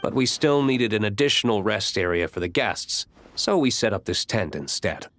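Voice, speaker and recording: A man playing an aloof, brooding young man in a video game, speaking with dry, deadpan reluctance. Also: fairly low pitch, moderate pace, a little hiss or hum. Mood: dry, matter-of-fact.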